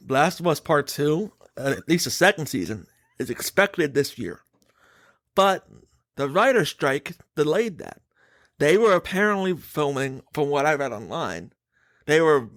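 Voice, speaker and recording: A man's voice: a little high, a bit bright, dry recording, clean studio sound. A man hosting a casual podcast, giving his opinions emphatically and swearing casually. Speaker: podcast host.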